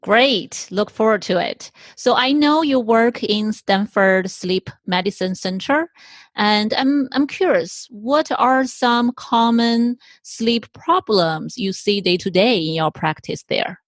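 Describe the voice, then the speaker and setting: A woman with a cold-roughened, hoarse voice, hosting an interview and politely asking questions. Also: medium pitch, slow, no echo. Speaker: podcast host. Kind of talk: conversational interview.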